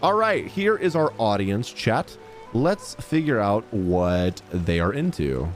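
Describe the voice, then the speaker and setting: A man who streams games, giving casual, expressive reaction commentary on what happens in the story. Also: lowish voice, slow, soft background music.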